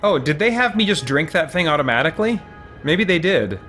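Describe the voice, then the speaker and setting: A man's voice: on the high side, sing-song, a little fast. A man giving casual live commentary as he plays a game, musing aloud in slangy language. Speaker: gaming streamer. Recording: loud game music behind the voice. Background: loud video game music.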